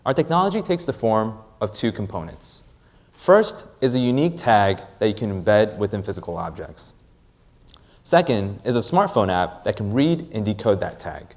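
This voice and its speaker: A man delivers a rehearsed startup pitch in a lower-pitched voice. His delivery is very lively, with wide swings in intonation and deliberate emphasis on key phrases. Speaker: startup presenter. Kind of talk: rehearsed product pitch presentation.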